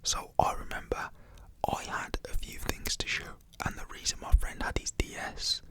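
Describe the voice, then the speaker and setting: A young man, whispering a rambling personal story to the camera with lots of "like" fillers. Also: moderate pace. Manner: whispers throughout. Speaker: storytelling vlogger.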